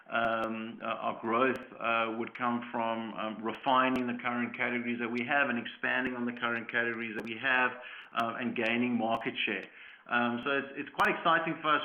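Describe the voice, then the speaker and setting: Male company chief for earnings call Q&A, mid-pitched, measured and hesitant, muffled phone-line sound. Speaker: company chief executive answering investor questions. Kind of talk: earnings call question and answer. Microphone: conference call line.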